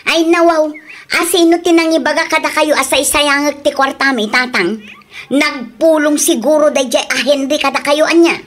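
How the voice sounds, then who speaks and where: A high, girlish-pitched voice of a spendthrift wife played by a woman in an Ilocano radio drama. She is stubborn and defensive, exclaiming and whining in an Ilocano accent. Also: steady tone, normal speed, a little hiss or hum, close to an everyday voice. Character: spendthrift wife who likes expensive things, stubborn, defensive.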